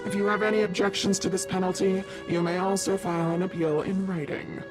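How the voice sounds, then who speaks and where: An actress playing a stern, formal corporate executive chairing a disciplinary meeting in a video game, with a procedural delivery. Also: female voice, mid-range voice, level tone, fairly quick, music in the background, natural voice. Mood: stern, official. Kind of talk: formal scripted game dialogue.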